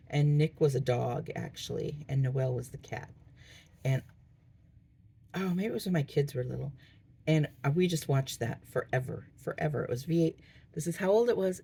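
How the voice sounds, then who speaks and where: Hoarse low-voiced woman chatting while crafting. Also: warm tone. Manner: rambling, chatty, thinking aloud with fillers. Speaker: diamond painting crafter. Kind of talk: casual crafting vlog chat.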